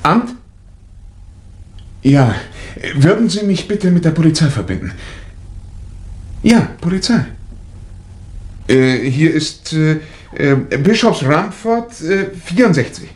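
An actor playing a cool, wry amateur detective in a 1960 radio mystery, with dry, theatrical banter. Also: male voice, a little high, sing-song, takes it slowly, long pauses, a little mellow. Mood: urgent, polite. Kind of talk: 1960 German radio mystery play acting. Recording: vintage vinyl radio play, noticeable surface noise.

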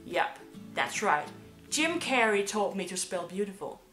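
A woman's voice: medium pitch, very animated, unhurried, a bit bright. A young woman from Denmark with a Danish accent, joking through a scripted vlog monologue. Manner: playful and joking. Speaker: vlogger. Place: small room indoors. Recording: echoey room with background guitar music. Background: guitar music.

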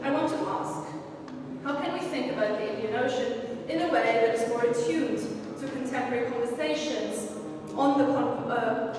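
A fairly high-voiced woman reads her conference paper in a steady, measured way. Her voice rings out in a large echoey lecture hall. She is caught from across the room by a distant mic. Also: noisy background. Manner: measured, scripted delivery with occasional fillers. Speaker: academic panelist. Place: lecture room with projected slides. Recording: echoey hall recorded from far off.

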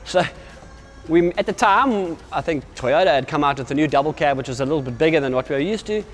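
A man in his forties talks straight to the camera about kayaks, his voice sitting a little high for a man. He speaks with lively energy, gesturing as he goes and often restarting his sentences. Soft music plays faintly underneath him in an indoor showroom. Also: quick pace. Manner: energetic, gestures constantly while talking, many restarts. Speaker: kayak company representative. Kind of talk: explanatory product talk to camera. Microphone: camera at medium distance.

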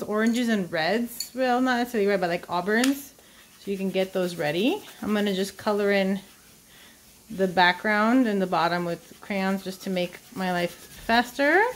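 A woman's voice: medium pitch, a little hiss or hum. A woman giving an informal step-by-step drawing lesson for children, thinking aloud as she works. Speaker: art teacher. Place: indoors at a table.

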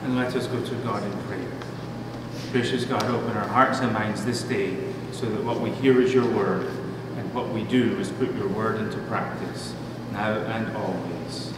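A middle-aged man preaching a sermon as a pastor, in a measured, deliberate manner with pauses. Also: fairly low pitch, fairly even intonation. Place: church room at a pulpit. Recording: distant mic, echoey hall, noisy background.

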